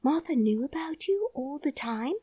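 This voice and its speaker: A woman's voice: sits high, lively intonation, normal speed, mellow, no room sound, studio quality, voice pushed up. A woman voicing a plain-spoken young girl. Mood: earnest. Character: earnest, outspoken young girl.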